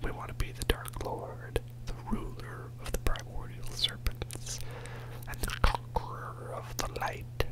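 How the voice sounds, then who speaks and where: A man whispering a soft, rambling ASMR commentary over gameplay as host of his channel, joking about himself with quiet laughs. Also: unhurried. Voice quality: whispering throughout.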